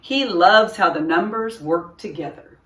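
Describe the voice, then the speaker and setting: A woman hosting a storytime, reading a children's picture book aloud in an expressive, deliberate way. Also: on the low side. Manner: expressive, deliberate, puts on character dialogue. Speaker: storytime host, reader. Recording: roomy echo, distant microphone.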